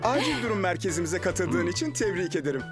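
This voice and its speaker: An actor voicing an eager, urgent rescue team vehicle in a cartoon dub, energetic and exclaiming. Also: medium pitch, fast, close to an everyday voice. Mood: welcoming, surprised. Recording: loud music behind the voice.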